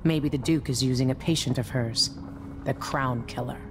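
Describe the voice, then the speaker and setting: Blunt, dry boat captain. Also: female voice, extremely low pitch, moderate pace, over music. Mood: steady. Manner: dry, blunt, matter-of-fact. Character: gruff woman boat captain, blunt and practical. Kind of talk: video game cutscene dialogue.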